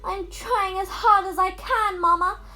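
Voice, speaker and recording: A woman's voice: very high voice, fairly even intonation, measured pace, sharp tone, voice pushed up, heavily acted. A female reader voicing a snobbish, spiteful young stepsister in a storybook, scornful and whiny. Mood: straining, whiny. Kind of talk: storybook character voice.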